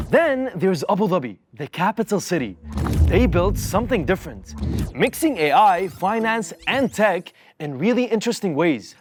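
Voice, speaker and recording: Thirties male host, animated persuasive narration, music underneath. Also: high-pitched. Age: 30s. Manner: upbeat, persuasive storytelling. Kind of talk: scripted narration.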